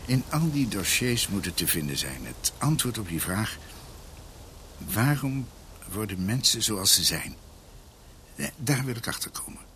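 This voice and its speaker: Radio play: ageing learned doctor, earnest, reflective, low-pitched with lively swings in intonation. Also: male voice, slight background noise, exaggerated voice. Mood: earnest, absorbed. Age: older. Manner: halting, reflective, searching for words. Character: ageing male doctor, scholarly, earnest, brooding family researcher. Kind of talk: radio drama acting.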